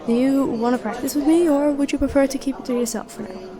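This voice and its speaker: Clear cafe chatter surrounds a highish young female voice played by a woman. She is a sweet, supportive best friend hiding a crush. She speaks in gentle, earnest, conversational roleplay style, with soft hesitations. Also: fast, lightly acted. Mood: playful, encouraging. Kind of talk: intimate roleplay audio acting, one-sided dialogue. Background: coffee shop crowd ambience.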